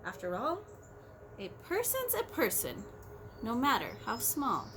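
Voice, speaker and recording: A woman voicing a gentle, protective male elephant in a storybook, earnest and worried. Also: a little high, huge pitch swings, a little slow, a little hiss or hum, full character voice. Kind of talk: storybook reading aloud.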